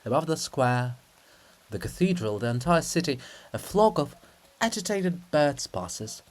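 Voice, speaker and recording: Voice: medium pitch, very animated, unhurried. Dramatic play reader with varied intonation. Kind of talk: dramatic reading, stage directions.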